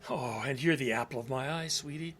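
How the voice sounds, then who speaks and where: A man's voice: mid-range voice, brisk, a bit bright, natural voice. An actor playing a forgetful, stubborn elderly father in an audio drama, with a folksy, stammering, muttering manner. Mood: affectionate.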